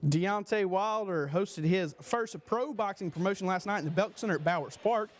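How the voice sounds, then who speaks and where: High-pitched young man anchoring sports news. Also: moderate pace, a little hiss or hum. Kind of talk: scripted sports newscast. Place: small TV news studio. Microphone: lapel mic.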